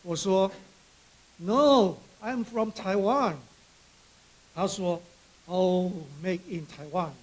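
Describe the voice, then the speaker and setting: A high-voiced man delivers a prepared speech. His voice is husky and breaks the text into short, measured phrases, with some hesitation. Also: poor audio. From Borneo, Malaysia. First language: Chinese. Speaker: author giving an acceptance speech.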